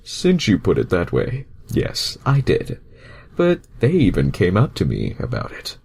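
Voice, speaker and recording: A man voicing a smug, laid-back cartoon hedgehog who tries to sound mature, speaking slowly and mock-seriously. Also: low-pitched, wildly swooping pitch, creaky, darkish tone, slightly rough, full character voice. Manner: slow, deliberate, mock-serious.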